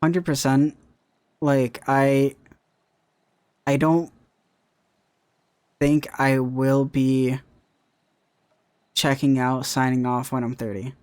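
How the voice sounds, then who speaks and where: Slow-talking young man musing. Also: medium pitch, flat delivery, a little mellow, clear, resonant tone. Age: young adult. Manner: rambling, repeats himself, hedges with "right?". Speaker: content creator. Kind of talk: casual chat.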